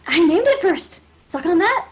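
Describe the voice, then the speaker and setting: Woman playing a high-voiced, sarcastic young heroine. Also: sing-song, normal speed. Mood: teasing. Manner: wry, assertive. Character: bossy, sarcastic young heroine leading a band of kids. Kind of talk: acted table read.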